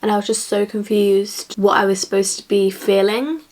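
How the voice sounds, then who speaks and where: A woman's voice: medium pitch, little pitch movement, normal speed, darkish tone, poor audio. A young woman with a blocked nose from a cold, rambling in a chatty way as she reviews books she has read. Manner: rambling, chatty, lots of "like" and "kind of". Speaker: book reviewer. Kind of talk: casual chatty vlog.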